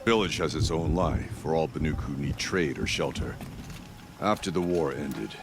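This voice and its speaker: A man's voice: extremely low pitch, moderate pace, creaky, music in the background. A man playing a stern, solemn warrior chieftain in a video game, terse and commanding. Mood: grave, formal. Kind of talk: video game dialogue acting.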